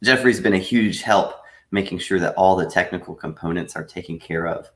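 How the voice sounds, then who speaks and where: A man teaching a live online English lesson, patiently explaining with frequent pauses. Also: deep voice, reverberant. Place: room at home.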